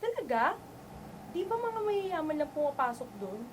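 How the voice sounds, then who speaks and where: A woman plays a frank, friendly young roommate in an amateur radio play. Her voice is fairly high and chatty, with slight noise behind it. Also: very animated, normal speed. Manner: chatty, candid. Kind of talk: amateur radio drama acting.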